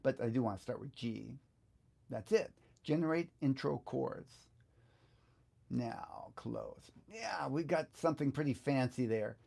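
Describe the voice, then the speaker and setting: A middle-aged man giving a casual step-by-step tutorial, unhurried and informal, often thinking aloud as he works. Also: mid-range voice, animated, gravelly, a little mellow. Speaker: guitar teacher giving a software tutorial.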